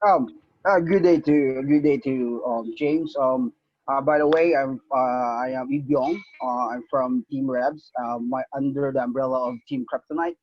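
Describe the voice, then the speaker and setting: A man's voice: mid-range voice, measured pace, dry recording. A man from the Philippines, a team leader speaking on a video call, hesitant and full of fillers but earnest. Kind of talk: halting speech to a group. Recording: dull, dry video-call audio.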